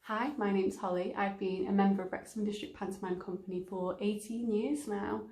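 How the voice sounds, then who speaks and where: A woman's voice: medium pitch, steady tone, moderate pace, darkish tone. A young woman from Wales giving a spoken introduction to camera as a member of an amateur theatre company. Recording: roomy indoor echo.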